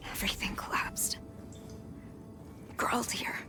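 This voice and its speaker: An actor playing a dying trapped miner in a video game, speaking in a weak, breathless whisper. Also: male voice, measured pace, lightly acted. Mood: fading.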